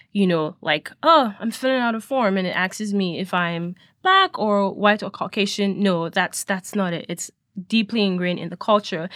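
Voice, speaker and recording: A woman's voice: medium pitch, a bit bright, no room sound, clean studio sound. A woman raised in Nigeria, a podcast guest talking in a reflective, emphatic way with many fillers. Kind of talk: conversational discussion.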